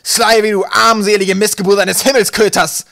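A man's voice: mid-range voice, fairly even intonation, very fast, lightly acted. A man voicing a furious sky pirate captain in an audiobook, bellowing and barking orders. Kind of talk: audiobook character acting.

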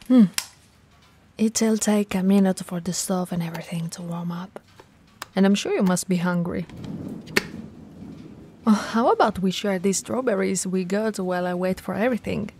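A woman playing a tall, cool, flirty shop owner in a soft, breathy, intimate ASMR roleplay. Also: medium pitch, slight background noise, close to an everyday voice. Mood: relaxed, coaxing. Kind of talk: ASMR romantic roleplay acting. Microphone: close mic, dry.

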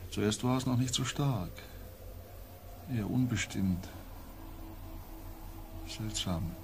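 An actor playing a weary, haunted empathic explorer in a science-fiction radio play, speaking haltingly and deliberately. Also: male voice, fairly low pitch, creaky, a little hiss or hum. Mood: uneasy, wondering.

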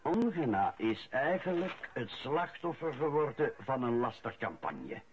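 Radio drama husband type, mild, matter-of-fact reading aloud, mid pitch, dull lo-fi sound. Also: male voice, big pitch swings, a little slow, a little hiss or hum. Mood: measured. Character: mild man reading the newspaper aloud at breakfast. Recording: dull, lo-fi old radio recording.

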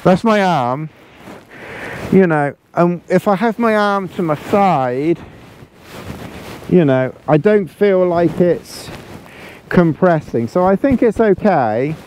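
A man's voice: high-pitched, lively intonation, slow pace, pauses often, a little mellow, a little hiss or hum, low-quality recording. A man, casually reviewing camping gear while lying in a tent, rambling and repeating himself. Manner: chatty, rambling, repeats words, chuckles. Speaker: outdoor gear vlogger. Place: inside a small tent, lying in a sleeping bag.

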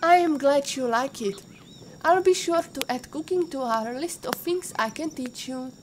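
Woman as patient Russian female mentor, highish voice, formal and instructive, audio drama, faint cricket noise behind. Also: normal speed, natural voice. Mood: warm, teasing. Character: patient female warrior mentor, former Interpol agent, caring teacher.

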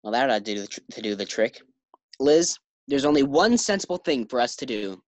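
A man's voice: medium pitch, very animated, brisk, no echo, close to an everyday voice. A man acting a sensible, exasperated husband in a 1940s-style radio sitcom.

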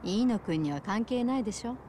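An actress playing a friendly, straightforward bar owner in a video game, talking casually and directly. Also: female voice, mid-range voice, animated, a little fast, mellow, a little hiss or hum, natural voice. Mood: reproving. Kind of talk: video game cutscene acting.

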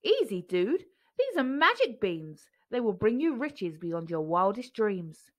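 A woman's voice: medium pitch, very animated, unhurried. A woman voicing a sly, laid-back mango trader in a storybook. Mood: coaxing.

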